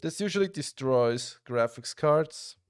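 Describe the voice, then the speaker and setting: A man's voice: fairly high pitch, a little slow, clean tone, no echo, studio quality. A young man from Switzerland streaming a game live, chatting casually with his viewers and reacting as he plays, often restarting his sentences. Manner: relaxed, chatty, talks to chat, frequent restarts. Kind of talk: casual live-stream commentary while gaming.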